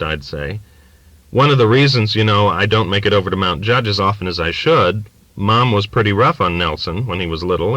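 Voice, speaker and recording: A man's voice: low voice, sharp tone, no echo, poor audio. A man voicing a guarded, weary younger working man, plain and reticent, in an audiobook. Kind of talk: audiobook character dialogue.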